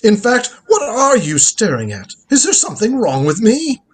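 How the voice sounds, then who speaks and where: A man's voice: high-pitched, wildly swooping pitch, normal speed, breathy edge, full character voice. An actor playing a brusque, eccentric old grandfather in a live radio drama reading, curt and imperious.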